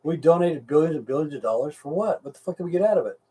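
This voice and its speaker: A man's voice: fairly high pitch, lively intonation, racing, dark tone, husky, distant mic. A middle-aged or older American man chatting casually and rambling to live stream viewers, with a blunt, folksy, profane way of talking.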